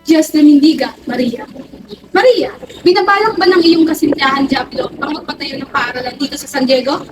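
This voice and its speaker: A woman's voice: high voice, a little fast, background noise, lightly acted. A woman playing a domineering, scolding male friar in an amateur colonial period drama. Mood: indignant, scolding. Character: indignant, domineering Spanish-era male friar. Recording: distant mic with faint music.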